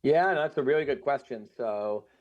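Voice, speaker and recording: A man's voice: mid-range voice, expressive, moderate pace, a little mellow. A middle-aged man raised in the United States, talking casually and thoughtfully with frequent fillers. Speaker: guest. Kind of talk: casual conversation. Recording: muffled lo-fi sound.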